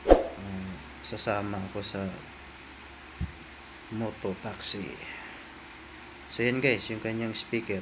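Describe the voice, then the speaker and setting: Filipino man, vlogger, flat intonation, casual unboxing style, repeats himself, clearly noisy recording. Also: lowish voice. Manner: informal, repetitive, talking to viewers as he handles items. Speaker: vlogger, product reviewer. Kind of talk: casual unboxing and hands-on review.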